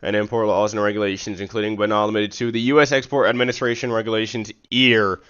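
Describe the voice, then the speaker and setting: Low, clear-voiced man, slightly muffled audio. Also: little pitch movement, fast talker, a bit bright. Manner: stumbles over words and corrects himself; slangy casual speech with frequent "bro". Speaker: live streamer. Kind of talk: reading a legal document aloud on a livestream, with casual asides.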